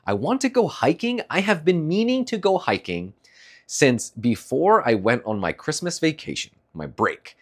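A man's voice: on the high side, big pitch swings, moderate pace. A young man with a stuffy nose giving a casual, rambling morning check-in, laughing often between thoughts. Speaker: streamer.

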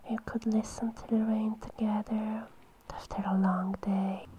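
Woman, breathy intimate whisper, teasing girlfriend role, unhurried and level delivery. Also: medium pitch, a little hiss or hum, rough recording. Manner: soft, teasing, affectionate. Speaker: girlfriend in audio roleplay. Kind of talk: whispered intimate audio roleplay.

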